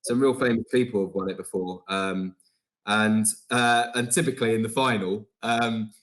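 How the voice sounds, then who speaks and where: A man, a comedian and filmmaker guest, telling his story in a halting way full of ums. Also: lowish voice, steady tone, fairly bright tone, poor audio. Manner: hesitant, many ums and uhs. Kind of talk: casual interview chat.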